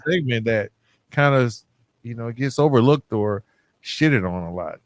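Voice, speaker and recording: Casual podcast host guy, slangy and encouraging, mid pitch, really dry close mic. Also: animated, normal speed, no echo. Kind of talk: casual conversational interview and sign-off.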